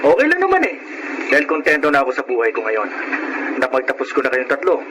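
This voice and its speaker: A humble, earnest taxi driver in a radio drama. He speaks politely and modestly at a middling pitch, over a clearly noisy recording. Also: huge pitch swings, quick pace. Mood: resigned, content. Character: humble, honest bachelor taxi driver, eldest brother. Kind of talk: Filipino radio drama acting.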